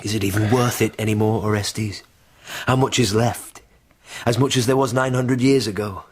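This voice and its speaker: An actor playing a blunt, hard-bargaining barbarian army commander in a radio drama, measured and weighty. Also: male voice, lowish voice, steady tone, breathy edge. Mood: shrewd.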